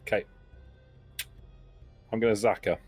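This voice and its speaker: A man's voice: fairly low pitch, fairly even intonation, takes it slowly, darkish tone, slightly rough, faint music behind. A man talking casually as a player in a tabletop role-playing game.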